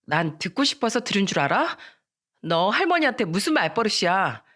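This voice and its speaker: A woman's voice: medium pitch, very animated, moderate pace, a bit bright. A woman acting an earnest, worried teenage schoolgirl. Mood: indignant. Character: earnest, worried teenage schoolgirl, loyal but indignant friend.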